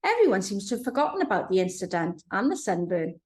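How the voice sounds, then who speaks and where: A woman narrating a storybook for young children in an expressive storytelling manner. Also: medium pitch, quick pace. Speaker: author reading own children's book.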